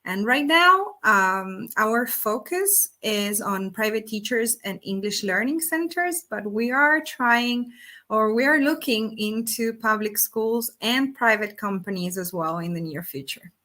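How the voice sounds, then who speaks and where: A woman's voice: on the high side, animated, measured pace, pushed and bright. A woman from Argentina whose first language is Spanish, a company executive answering interview questions over a video call, earnest, with many fillers and restarts. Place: Brazil.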